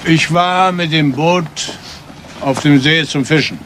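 A stern, retired father in a crime radio play. His fairly high older voice is curt, indignant and defensive, set against clear vehicle noise. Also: takes it slowly, fairly bright tone.

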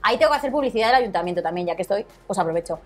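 A woman's voice: medium pitch, lively intonation, rapid-fire, soft background music. A young woman with a Madrid accent chatting casually as a guest, talkative and colloquial. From Madrid, Spain. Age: young adult. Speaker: interview guest, Instagram content creator. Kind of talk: casual chat. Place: small studio set, indoors. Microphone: lapel.

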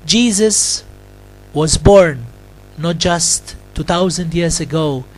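A man, a Capuchin friar, delivers a Christmas homily and prayer in short deliberate phrases with long pauses. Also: a little high, faint music behind.

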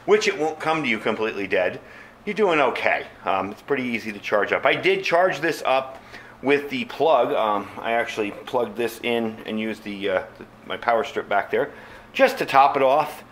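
A man's voice: medium pitch, lively intonation, normal speed, fairly bright tone. Casual man reviewing gear, noisy background. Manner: informal, conversational, addresses viewers as folks. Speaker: product reviewer, channel host. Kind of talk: casual unscripted product review.

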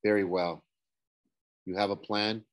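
Troubled young boy played by a man, raised urgent voice, bitter edge, slow measured delivery. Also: lowish voice, level tone, highs cut off, natural voice. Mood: grim. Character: grim, troubled boy about eleven. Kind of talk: audiobook character voice.

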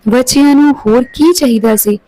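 A woman's voice: high-pitched, moderate pace, mellow, poor audio. A woman reading a scripted story aloud as a narrator.

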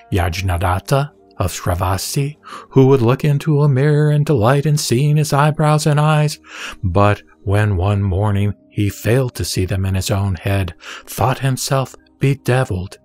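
A man gives a scripted, dramatic reading of scripture in a measured, solemn, instructive manner. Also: fairly low pitch, darkish tone. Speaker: narrator and reader of scripture. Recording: background music behind the voice.

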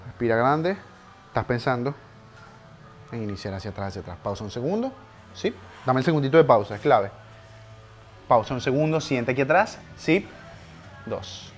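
A man's voice: medium pitch, big pitch swings, normal speed, pauses often, soft background music. A young man, a personal trainer coaching a client through a workout live, counting reps and urging her on. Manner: urgent encouraging counting of reps, pushes harder near the end. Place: home garage gym, indoors.

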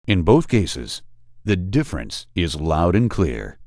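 A man reading scripted instructional narration for a training video, deliberate and emphatic. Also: sits low, sing-song, darkish tone, no room sound, clean studio sound. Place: studio voice-over.

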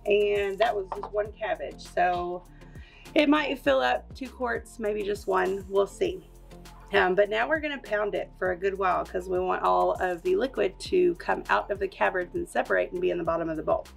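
A woman's voice: medium pitch, normal speed, vocal fry, faint music behind. A young woman with a Kentucky accent, chatting upbeat as she explains a kitchen recipe step by step at home. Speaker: homestead vlogger. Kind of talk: casual kitchen how-to. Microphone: camera on the counter.

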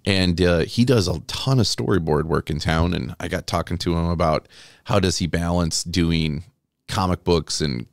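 A man's voice: low-pitched, moderate pace, dry recording, clean studio sound. A man chatting casually as an interview guest, rambling and chuckling, enthusiastic about his own work. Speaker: interview guest, comic book artist.

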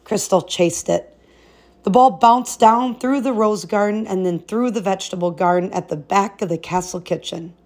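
Female storybook narrator for a fairy-tale read-aloud, expressive, mid-range voice. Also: medium pitch.

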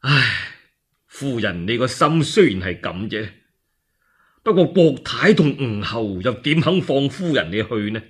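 A male storyteller plays a sorrowful warlord in a radio drama. He sighs and hesitates plaintively, in a slow, husky, swooping voice. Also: medium pitch, sing-song, voice pushed down. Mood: sorrowful, pleading. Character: Liu Bei, sorrowful, weepy middle-aged warlord. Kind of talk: one-man Cantonese radio storytelling drama.

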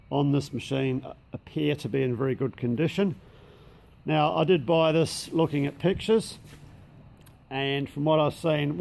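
A man's voice: on the high side, creaky, a little mellow. An Australian man who runs a machine shop, giving a casual, matter-of-fact walkthrough in a large indoor workshop shed. He speaks plainly, uses local slang, and is recorded on a handheld camera mic.

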